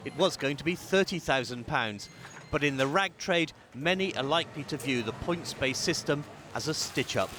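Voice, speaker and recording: Guy news reporter, measured, faint street noise behind. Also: on the high side, animated, sharp tone. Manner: measured, clear delivery. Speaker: political correspondent. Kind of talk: news report voice-over and interviewing.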